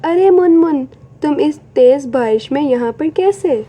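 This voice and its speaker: A woman's voice: sits high, animated, measured pace, dark tone, a little hiss or hum. A woman voicing an anxious, plaintive mother bird in a children's cartoon. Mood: worried. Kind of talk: Hindi children's cartoon story acting.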